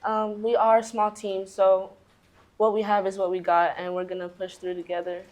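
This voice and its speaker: A young woman college softball player giving upbeat, confident interview answers. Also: mid-range voice, flat delivery, moderate pace. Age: young adult.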